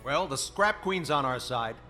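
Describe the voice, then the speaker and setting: A man's voice: medium pitch, animated, unhurried, pushed and bright, soft background music. An actor playing a resolute squadron commander in a video game, briefing his pilots in a firm, grave, rousing manner. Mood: determined.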